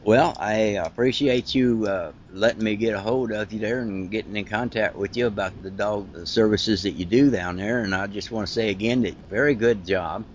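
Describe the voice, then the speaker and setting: Rambling Ohio-accented podcast host. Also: male voice, fairly low pitch, dull audio, slight background noise. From Ohio, United States. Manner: rambling, halting, friendly. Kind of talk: casual interview chat. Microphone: internet call.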